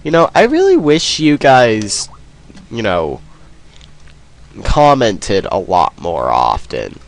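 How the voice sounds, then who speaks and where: A man's voice: on the low side, huge pitch swings, slow. A man with a cold, sniffling as he rambles through casual let's play commentary. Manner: rambling, self-deprecating, many ums.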